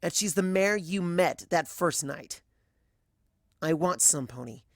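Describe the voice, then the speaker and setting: Woman as a low-voiced, bitterly hurt female pony. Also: expressive, a little slow, no room sound, clean studio sound. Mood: angry, hurt. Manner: accusing, rhetorical. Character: hurt, bitter young female unicorn pony, sharply sarcastic. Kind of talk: dramatised fan fiction reading.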